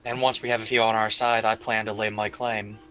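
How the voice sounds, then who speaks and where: Bright, forceful male tabletop player. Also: on the low side, little pitch movement, a little fast, faint music behind. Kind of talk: casual roleplay banter.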